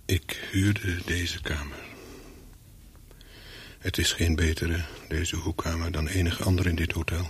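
An actor playing a wary, secretive English hotel guest in a radio play, telling his story in short, measured sentences with a husky voice. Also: little pitch movement, full character voice. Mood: brooding, reflective. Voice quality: low, husky. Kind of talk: radio play, first-person narration with dialogue.

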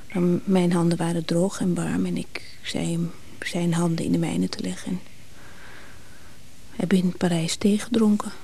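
A woman's voice: lowish voice, warm tone, breathy edge. An actress playing an anxious, longing woman who speaks hesitantly and pleadingly in a radio drama. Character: anxious, longing woman clinging to her absent lover. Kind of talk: Dutch radio drama acting.

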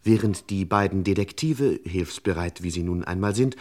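An actor playing a wry, pompous, mock-solemn narrator of a comic crime radio play. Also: male voice, low voice, normal speed, a little mellow, lightly acted.

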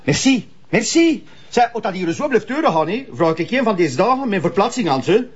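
A folksy, bumbling Flemish police officer with a Flemish accent who hems and rambles. His voice is husky, a bit high and very lively. The radio play recording sounds dull and lo-fi. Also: fairly quick, full character voice. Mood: rambling. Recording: dull lo-fi tape.

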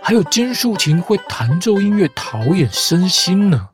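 A man's voice: sits high, big pitch swings, normal speed. A man hosting a podcast, retelling fairy tales with playful, teasing commentary. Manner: playful, teasing, mock-reasonable. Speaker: podcast host. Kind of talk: storytelling commentary. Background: guitar music.

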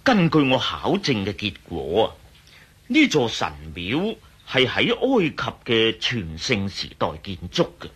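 A man playing an absent-minded, scholarly professor in a radio drama, hesitant and muttering, with a raspy, husky voice. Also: on the low side, wildly swooping pitch, measured pace, a bit bright, full character voice. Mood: thoughtful. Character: absent-minded, scholarly male Egyptology professor, easily excited. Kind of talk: Cantonese radio drama acting.

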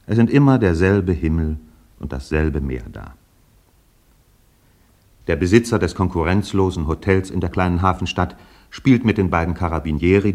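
A solemn radio drama narrator, very low husky voice, measured and poetic. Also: male voice, darkish tone. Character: brooding male narrator of a poetic radio play. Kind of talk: literary radio play narration.

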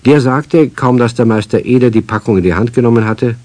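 A man narrating a scripted children's radio story as its storyteller, with a husky voice. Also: medium pitch, brisk, dark tone, no room sound.

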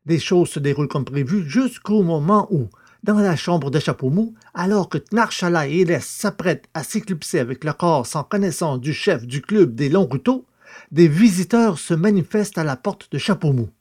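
A man with a slightly high voice delivers scripted narration for an audio drama serial. He acts as the narrator, recapping in a storytelling register. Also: animated, moderate pace, darkish tone, slightly rough.

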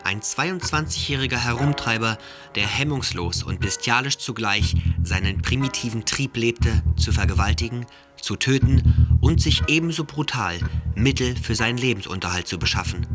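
A man playing a stern official reader in a radio docudrama, with a formal, emphatic delivery. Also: on the low side. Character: stern official male voice reading indignant commentary and reports.

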